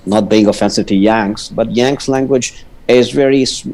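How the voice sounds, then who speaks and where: A man's voice: medium pitch, normal speed, a little mellow. Indian man who stammers and restarts often. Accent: Indian English. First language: Punjabi. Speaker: guest. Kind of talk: casual livestream chat.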